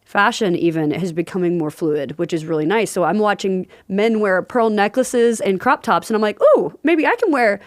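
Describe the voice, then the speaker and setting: A woman hosting a podcast, chatting casually, filling pauses with "um" and laughing easily. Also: mid-range voice, no echo, clean studio sound. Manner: chatty, fills with "um" and "I'm like". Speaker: podcast host. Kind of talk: casual interview chat.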